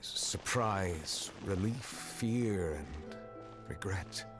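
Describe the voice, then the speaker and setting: Solemn game judge, very deep, measured, formal, introspective, music behind. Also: male voice, expressive, heavily acted. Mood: regretful. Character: Neuvillette, solemn, reserved dragon chief justice in human form. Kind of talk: video game voice acting.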